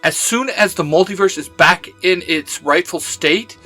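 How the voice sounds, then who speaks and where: A man's voice: high voice, measured pace, sharp tone, faint music behind, full character voice. A man playing a cocky, mocking young superhero leader in a dramatized audio story, brash and defiant.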